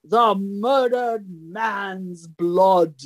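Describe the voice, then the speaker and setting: A woman's voice: medium pitch, extremely slow. A woman playing an imperious, theatrical great detective with a pompous, declamatory delivery in an old-time radio mystery parody. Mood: grandly triumphant.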